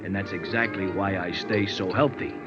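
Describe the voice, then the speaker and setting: An actor playing a chatty, streetwise American bartender in a 1950s radio drama, mixing breezy slang with pompous big words. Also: male voice, low-pitched, fairly even intonation, normal speed, natural voice. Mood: gossipy, knowing. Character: chatty, self-improving hipster male bartender, breezy and streetwise. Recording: dull vintage radio recording with music.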